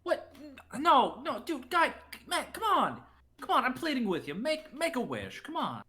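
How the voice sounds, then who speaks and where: A man's voice: very high voice, huge pitch swings, measured pace, sharp tone, exaggerated voice. A man playing a brash, impatient wish-granting spirit with slangy salesman's patter in a comedic reading. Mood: impatient, pleading. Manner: slangy, exasperated dude-speak.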